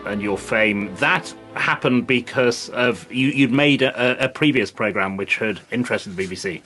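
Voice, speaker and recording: A man interviewing a guest, building long, measured questions clause by clause. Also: mid-range voice, sharp tone, faint music behind.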